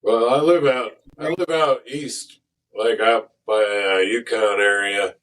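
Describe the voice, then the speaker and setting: Middle-aged American band member, low voice, casual storyteller, recorded from a distance. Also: male voice, fairly even intonation, slow, a bit bright. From United States. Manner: laid-back, swears casually, enthusiastic storyteller. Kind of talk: casual chat. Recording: voice picked up from across a room.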